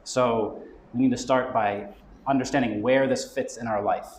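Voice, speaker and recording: A man's voice: medium pitch, steady tone, moderate pace, a little hiss or hum. A man speaking as a marketing expert at a live event, explaining his ideas conversationally and often checking in with "right?". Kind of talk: live talk explaining business goal setting. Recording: roomy live venue with faint background ambience.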